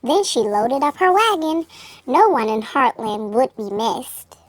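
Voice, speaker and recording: A woman reading a children's storybook aloud, with measured, expressive phrasing for young listeners. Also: high voice, dry recording. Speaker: children's book reader. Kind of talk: storybook read-aloud narration.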